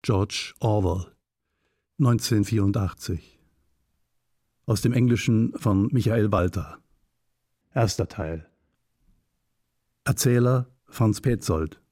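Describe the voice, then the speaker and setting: A man reads scripted radio credits as an announcer. His voice is dark and fairly low, placed at an unhurried pace in a dry studio sound. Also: no echo. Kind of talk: scripted announcement of title and cast.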